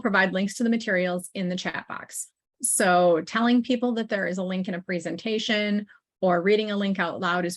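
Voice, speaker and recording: A woman presenting an online training as a consultant, talking informally with frequent "um"s and asides to the audience. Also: medium pitch. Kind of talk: conversational webinar presentation.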